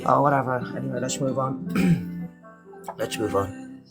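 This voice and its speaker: A man's voice: mid-range voice, measured pace, loud music behind the voice, close to an everyday voice. A man playing a pompous self-crowned vegan king who hosts a live stream and rants and boasts grandly. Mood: evasive. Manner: preachy, grandiose ranting.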